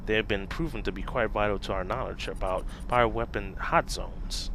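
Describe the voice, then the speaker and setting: A man playing a curious, self-assured government agent in a scripted fan-dub drama, speaking in a measured, probing way. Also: on the low side, a little hiss or hum, poor audio. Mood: admiring. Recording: loud music behind.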